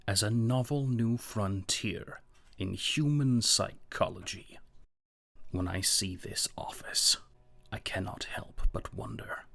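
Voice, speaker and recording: A man playing a grave, brooding philosopher in an audio drama, husky and gravelly, with a solemn, measured, theatrical delivery. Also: lowish voice, fairly bright tone, exaggerated voice. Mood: solemn, ominous. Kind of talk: dramatic audio play acting.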